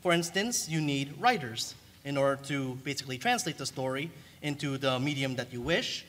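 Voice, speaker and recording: A man explaining things on a panel, halting and stumbling over his words. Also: medium pitch, lively intonation, fairly bright tone. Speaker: panelist, artist. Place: convention panel room. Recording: noisy room ambience.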